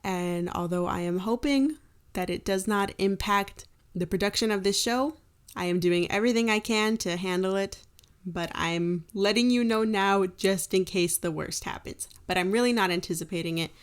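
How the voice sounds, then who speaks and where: Clear, smooth-voiced woman host. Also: mid-range voice, moderate pace. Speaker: show creator and host. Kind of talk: personal announcement to listeners.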